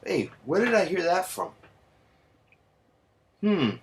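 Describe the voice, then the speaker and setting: A man's voice: high-pitched, sing-song, slow pace, a little hiss or hum. Profane ranting man. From United States. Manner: heated, sarcastic, swears constantly; mixes in Spanish slang. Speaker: vlogger. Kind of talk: profane angry rant.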